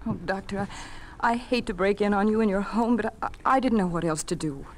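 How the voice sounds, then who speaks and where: An actress playing a hesitant, apologetic, dutiful nurse in a 1950s radio drama. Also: female voice, mid-range voice, fairly quick, natural voice. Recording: noisy old 1950s radio recording.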